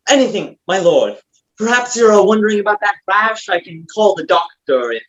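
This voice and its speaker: A man playing an eager, dim-witted, flattering manservant with a deferential, hesitant manner, in a comic stage play reading. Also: high voice, moderate pace, lo-fi sound. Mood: fawning.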